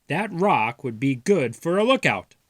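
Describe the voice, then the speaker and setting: A man voicing a gentle, cautious father mouse from a storybook, musing in an expressive, deliberate way. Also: fairly high pitch, dry recording, clean studio sound. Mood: caring, musing.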